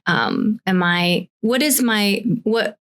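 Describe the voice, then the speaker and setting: A woman's voice: medium pitch, takes it slowly, a bit bright. A young adult woman from Taiwan, a life coach and podcast guest, talking reflectively and thinking aloud with frequent fillers and restarts. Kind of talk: casual podcast conversation.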